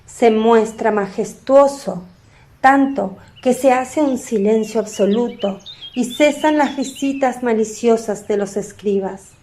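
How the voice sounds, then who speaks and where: Female narrator for biblical radio play, unhurried, soft mellow tone, slightly high register. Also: slight background noise. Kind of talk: scripted dramatic narration.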